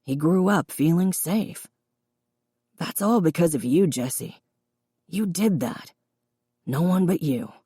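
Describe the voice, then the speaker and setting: A woman voicing a gentle, remorseful older father in an audiobook, quiet and earnest. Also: lowish voice, animated, takes it slowly, long pauses, husky, no echo, studio quality, heavily acted. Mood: tender, proud. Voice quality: reedy, quiet. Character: remorseful, loving father of grown children, older man. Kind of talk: audiobook character dialogue.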